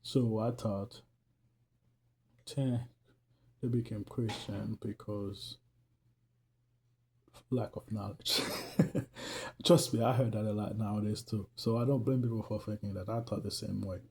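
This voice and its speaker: A man's voice: fairly low pitch, steady tone, a little slow, creaky, long pauses, darkish tone. A man from Haiti with a Haitian accent, talking casually and earnestly to his viewers about faith and growth, with frequent laughs. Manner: earnest, loose and chatty, laughs often. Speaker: faith vlogger. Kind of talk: casual talk to camera.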